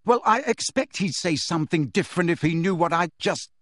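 Man playing a hot-tempered teenage wizard boy, high and loud. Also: animated, a little fast, no room sound, clean studio sound. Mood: angry, accusing. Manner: shouting, rough, aggressive. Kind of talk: audiobook character voice.

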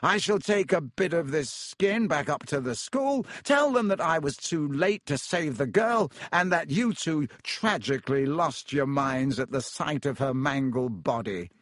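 A man's voice: fairly high pitch, animated, unhurried, hardly any pauses, fairly bright tone, dry recording, studio quality. A man voicing a smug, treacherous villain in an audiobook, gloating theatrically. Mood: smug menace. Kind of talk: audiobook character acting.

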